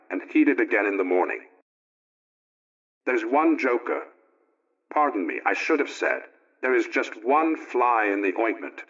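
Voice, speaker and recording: A man playing an earnest, folksy middle-aged family man, reading out his letter in a deliberate, plain-spoken way for 1920s radio. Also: medium pitch, a bit bright. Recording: dull, lo-fi old radio recording.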